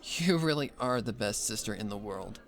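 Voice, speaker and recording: Man voicing a soft, earnest young mare. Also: lowish voice, lively intonation, moderate pace. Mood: happy, grateful. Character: gentle, earnest young mare, caring sister. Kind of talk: fan fiction audio reading.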